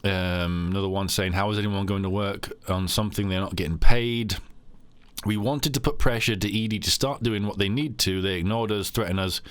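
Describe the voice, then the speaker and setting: A man's voice: lowish voice, fairly quick, smooth, dry recording. A man hosting a casual podcast talk, rambling with many fillers and restarts. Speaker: podcast host.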